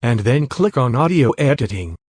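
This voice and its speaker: A man giving step-by-step software tutorial narration in a plain, instructional manner. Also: medium pitch, big pitch swings, normal speed, husky, dry recording. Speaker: tutorial presenter.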